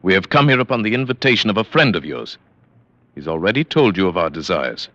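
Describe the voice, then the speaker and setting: An actor playing a haughty, self-possessed aristocrat with clipped, formal, commanding speech in a vintage radio drama. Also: male voice, low-pitched, expressive, fast talker, pushed and bright, dry recording. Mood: cool, insolent. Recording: dull, lo-fi vintage radio recording.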